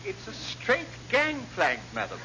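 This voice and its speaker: Exasperated naval officer, swooping pitch. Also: male voice, huge pitch swings, a little slow, sharp tone, heavily acted. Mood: dry, correct. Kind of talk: classic radio sitcom acting. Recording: very noisy old radio comedy recording.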